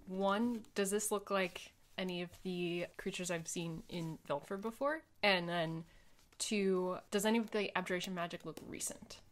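A woman's voice: on the low side, steady tone, moderate pace. A woman player talking and roleplaying in a tabletop fantasy game. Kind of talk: in-character tabletop roleplay chat.

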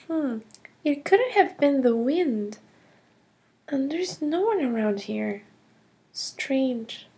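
A curious, cheerful girl, voiced by a woman narrates her own toy play adventure in a high, unhurried voice. She gasps often and drops into hushed whispers of wonder. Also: heavily acted. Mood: puzzled.

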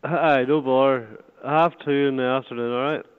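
A man's voice: medium pitch, steady tone, moderate pace, clear, resonant tone. A man with a Northern Irish accent from Derry, chatting casually on the phone in an easygoing, bantering way while taking a booking query. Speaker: venue staff answering a phone booking. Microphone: telephone. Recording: muffled narrow-band phone line.

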